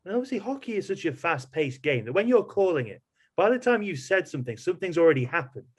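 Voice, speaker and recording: A man's voice: on the high side, big pitch swings, fast, slightly rough. A man hosting a podcast, putting casual questions and comments to his guest in conversation. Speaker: podcast host, interviewer.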